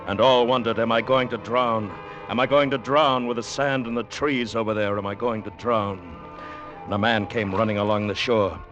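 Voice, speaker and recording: A male actor as a grave storyteller in an old radio drama. His deep voice is solemn and dramatic. Music plays behind him on a dull vintage recording. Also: steady tone, normal speed, lightly acted. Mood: intense. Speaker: narrator. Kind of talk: 1950s radio drama narration.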